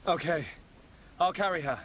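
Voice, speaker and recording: A man's voice: a little high, moderate pace, fairly bright tone. A young man playing an earnest, kind young hero in a video game cutscene, sometimes hesitant. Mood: concerned.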